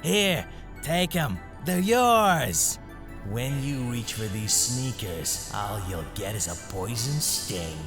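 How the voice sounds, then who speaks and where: A man's voice: medium pitch, very animated, slow pace, music in the background. A man playing a greedy, scheming shopkeeper villain who gloats and mutters asides in an anime-style audio drama. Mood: sly, scheming.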